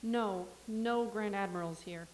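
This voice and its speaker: A woman's voice: mid-range voice, a little slow, very noisy, close to an everyday voice. A woman in a staged reading playing a dry, sardonic woman in a coffee shop.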